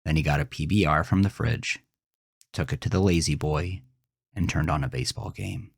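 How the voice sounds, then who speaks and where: A man reading a scripted first-person horror story in a measured, deliberate, dramatic way. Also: rumbling low, vocal fry, husky, dry recording, studio quality. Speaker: narrator of a horror fiction podcast.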